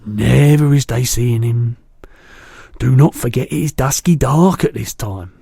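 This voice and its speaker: A man reading aloud and voicing a big, kindly male giant who mangles his words, in a louder and higher voice than his own. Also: measured pace, gravelly, breathy edge, no echo, studio quality. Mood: earnest. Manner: jumbled made-up words, booming and eager.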